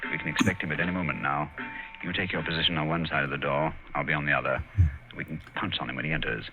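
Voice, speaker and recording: Commanding detective, 1940s radio play, authoritative, quick delivery, very deep voice. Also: male voice, steady tone, muffled recording, music in the background. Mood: hushed. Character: brisk, commanding male detective, middle-aged.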